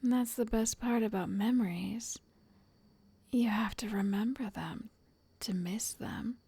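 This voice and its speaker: A woman voicing a possessive, doting witch in an ASMR roleplay, soft and largely whispered, coaxing the listener with sweet menace. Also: fairly high pitch, husky, exaggerated voice. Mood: sly, possessive. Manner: slow, coaxing, intimate, addressing the listener.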